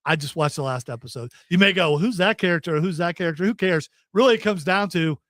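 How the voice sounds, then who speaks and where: Male podcast host, a bit high-voiced, halting and easygoing, dry mic. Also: fairly bright tone, no echo. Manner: halting, restarts often, easygoing. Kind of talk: casual chat.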